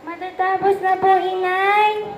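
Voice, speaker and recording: A woman plays a small puppet-show daughter, polite, apologetic and evasive. Her voice is extremely high, with clear room echo around it. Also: flat delivery, unhurried. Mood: apologetic, stalling. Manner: placating, apologetic. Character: eight-year-old girl, drowsy, evasive but polite daughter. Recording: echoey room.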